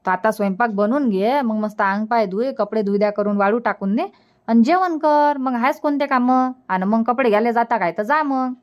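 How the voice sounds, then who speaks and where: Woman doing a cartoon village mom, bit high pitched, practical, bossing gently, varhadi marathi accent. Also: moderate pace, natural voice. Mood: matter-of-fact. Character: village mother, practical and advising family elder. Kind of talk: colloquial cartoon comedy dialogue.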